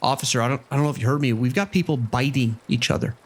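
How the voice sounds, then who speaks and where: Male actor as concerned casino boss in horror audio drama, urgent, protective, medium-pitched. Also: fast talker, background noise, lightly acted. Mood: urgent, alarmed.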